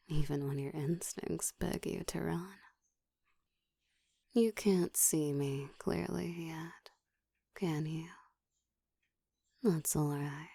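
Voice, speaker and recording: A woman voicing a seductive, dominant ancient vampire in an intimate ASMR roleplay, teasing and commanding, often slipping into a soft whisper. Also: dry recording, studio quality, heavily acted. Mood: teasing, predatory. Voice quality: soft, breathy whispering mixed with low murmur.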